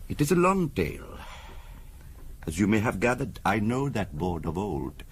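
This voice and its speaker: An actor playing a courteous, solemn fortune teller in a 1970s radio drama, speaking formally and deliberately. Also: male voice, on the low side, big pitch swings. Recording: noisy old radio recording.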